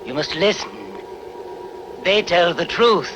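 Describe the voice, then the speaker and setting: Man as solemn soothsayer, insistent, slightly high voice, unhurried, noisy film dub. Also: expressive, a bit bright, full character voice. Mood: solemn prophecy. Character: earnest male soothsayer pleading before a queen. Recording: clearly noisy background.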